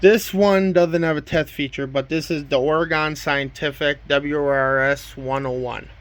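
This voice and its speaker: Man, slightly higher-pitched voice, plain and matter-of-fact, informal hobbyist demo. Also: steady tone, a little slow. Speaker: collector presenting a weather radio collection. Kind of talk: casual show-and-tell demonstration.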